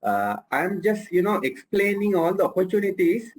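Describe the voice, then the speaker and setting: A man's voice: sits high, sing-song, moderate pace, slight background noise, low-quality recording. A man from Sri Lanka speaking as a panelist on consumer goods, leaning on "you know" constantly. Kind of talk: panel discussion answer.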